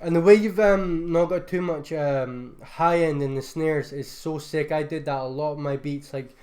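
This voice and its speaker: A man's voice: fairly high pitch, normal speed, a little mellow. A man, a music producer, reviewing beats in a casual livestream, laid-back and enthusiastic, loose with fillers. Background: faint beat music.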